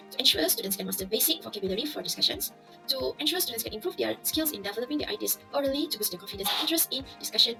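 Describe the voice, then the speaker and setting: A woman's voice: fairly high pitch, gravelly, rough recording. A woman teacher, giving a formal, upbeat scripted presentation of a classroom project. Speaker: English teacher.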